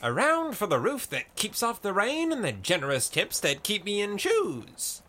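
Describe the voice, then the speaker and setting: A man voicing a stubborn, spirited young barmaid in a fantasy story, calling out loudly. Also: sits high, wildly swooping pitch, moderate pace, pitched above the performer's own voice, heavily acted. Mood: spirited toast. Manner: loud, spirited.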